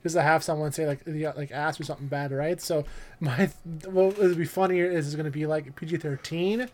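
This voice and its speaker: A man's voice: on the high side, fairly even intonation, brisk. A man co-hosting a podcast, chatting casually and rambling, often chiming in with agreement. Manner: rambling, frequent affirming interjections. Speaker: podcast co-host.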